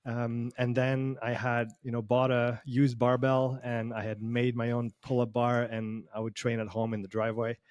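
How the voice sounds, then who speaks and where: A man's voice: mid-range voice, little pitch movement, moderate pace, hardly any pauses, darkish tone, no room sound. A man from Switzerland with a Swiss accent that carries a slight Canadian sound, telling his story as a guest in a casual online interview, rambling along with many fillers. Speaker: performance coach guest. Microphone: video call.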